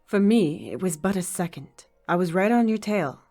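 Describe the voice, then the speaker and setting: A woman's voice: lowish voice, expressive, moderate pace, dark tone, faint music behind. Woman voicing a knowing, dignified female wizard. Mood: urgent. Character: seasoned female court wizard, knowing and brisk. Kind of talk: animated fan dub acting.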